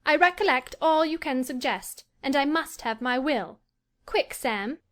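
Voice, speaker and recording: A woman's voice: high-pitched, expressive, a little slow, a bit bright, voice pushed up. A woman playing a haughty willful young lady. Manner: imperious, commanding.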